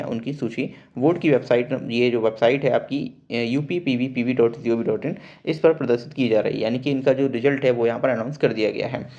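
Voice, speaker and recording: A man's voice: mid-range voice, darkish tone. Man, explanatory announcer, dull recording. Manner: formal Hindi mixed with English words, repeats points in simpler terms. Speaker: presenter of exam result updates for job aspirants. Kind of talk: explanatory announcement, reading out a notice with commentary.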